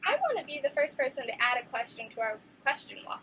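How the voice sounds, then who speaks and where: A young woman teaching a class, reading a story aloud to children in an encouraging, animated way and stopping to ask them questions. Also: sits high, fast talker, slight background noise, poor audio. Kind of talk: classroom read-aloud lesson.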